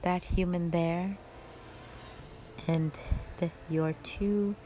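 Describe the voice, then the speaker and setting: A woman playing a cruel, mockingly sweet spider-woman monster who taunts slowly and with menace in a fantasy audio roleplay. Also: lowish voice, steady tone, mellow, slight background noise, rough recording. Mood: coaxing, threatening. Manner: slow, drawn-out, condescending taunting.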